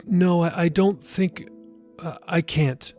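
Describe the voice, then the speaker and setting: Man voicing guarded young woman, halting and higher. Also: steady tone, mellow, faint music behind. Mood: evasive. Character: evasive young woman, curt daughter of a pastor. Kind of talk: audiobook character dialogue.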